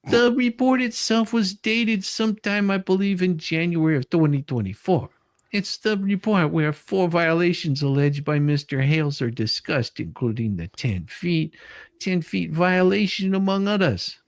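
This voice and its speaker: Someone acting out a testy male lawyer grilling a witness, pitch jumping all over, super dry sound. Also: animated, moderate pace, no echo, lightly acted. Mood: testy, argumentative. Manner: insistent, argumentative. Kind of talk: dramatic reading of a deposition transcript.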